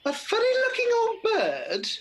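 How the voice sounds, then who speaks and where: A woman's voice: very high voice, huge pitch swings, measured pace, slight background noise, heavily acted. Woman doing skint kindly panto mum, chatty, really up and down voice, radio play. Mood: puzzled, polite. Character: good-hearted, hard-up pantomime mother, chatty and dry.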